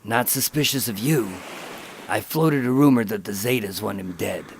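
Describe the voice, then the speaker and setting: A man playing a weary, calculating undercover agent, terse and measured, in a noir crime radio drama. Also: fairly low pitch, background noise, close to an everyday voice. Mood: stern, commanding. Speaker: lead actor and narrator. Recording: music under the voice.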